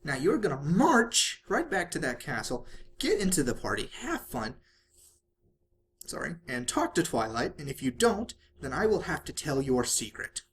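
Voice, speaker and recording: A storybook reader voicing a hyperactive, bubbly young female cartoon pony, excitable, playful and coaxing. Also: mid-range voice, moderate pace, slight background noise, natural voice. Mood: pleading, insistent.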